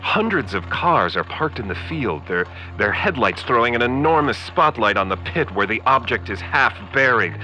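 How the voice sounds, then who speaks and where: Earnest, animated male radio news reporter. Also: medium pitch, normal speed, few pauses, highs cut off. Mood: dramatic, urgent. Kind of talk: 1930s-style comedic radio drama acting. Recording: music behind the voice.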